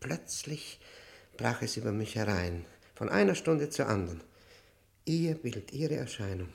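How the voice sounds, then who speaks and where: A man's voice: mid-range voice, very animated, vocal fry. Tormented, guilt-ridden man confessing. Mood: haunted, intense. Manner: halting, brooding, emphatic, repeats words. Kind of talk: theatrical German radio play monologue.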